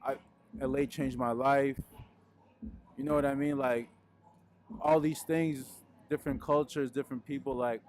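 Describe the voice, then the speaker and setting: Young male musician, relaxed rambling interview voice, mid pitch, handheld mic indoors. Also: measured pace, long pauses. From Peru. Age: young adult. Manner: laid-back, rambling, frequent fillers. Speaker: musician guest. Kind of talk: casual interview answers. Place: indoors in front of a wooden wall.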